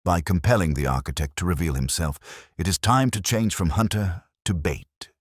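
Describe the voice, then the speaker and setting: Audiobook sleuth, played by a male narrator: extremely low male voice, intense, commanding, deliberate and rhetorical, dry close sound. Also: dry recording. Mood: resolute. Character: brilliant, intense male detective, commanding and cryptic.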